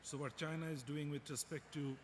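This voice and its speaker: A man's voice: fairly high pitch, fairly even intonation, brisk. A man making formal remarks as the chair of a conference session. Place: large conference hall. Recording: echoey hall, clear background noise.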